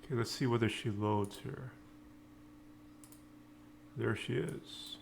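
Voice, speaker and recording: A man giving a casual coding screencast, thinking aloud in halting, unscripted phrases. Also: medium pitch, gravelly, slight background noise. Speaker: programming tutorial presenter.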